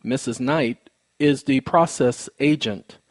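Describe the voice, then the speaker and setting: A man with a mid-pitched voice lays out a complaint formally and precisely. He stays polite but insistent, and the sound is dry, with little room around it. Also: slow, dry recording. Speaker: caller, presenter of own recorded phone call. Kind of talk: formal phone complaint and explanation to listeners.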